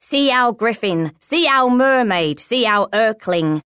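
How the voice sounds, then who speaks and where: A woman voicing a stout, brusque fairground showman with booming, theatrical patter in a storybook reading. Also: expressive, slow, a bit bright, clean tone, no echo, studio quality. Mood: booming, enticing.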